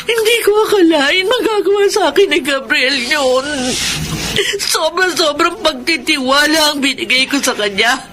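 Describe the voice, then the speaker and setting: A woman's voice: sits high, expressive, normal speed, background noise. An actress playing a heartbroken young woman in a Filipino radio drama, tearful and melodramatic. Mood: tearful, betrayed. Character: heartbroken young woman betrayed by boyfriend and best friend.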